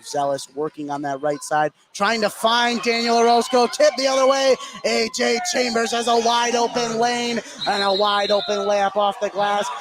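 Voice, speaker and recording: A man doing live play-by-play basketball commentary for radio, getting excitable on big plays. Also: very high voice, normal speed, hardly any pauses. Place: indoor basketball gym. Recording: noisy live gym broadcast. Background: loud game ambience.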